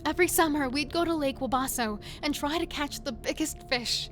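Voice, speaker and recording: A woman's voice: high voice, fairly quick, fairly bright tone, soft background music. A woman playing a confused, insistent, frightened sister who pleads urgently in an audio horror drama. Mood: earnest, pleading.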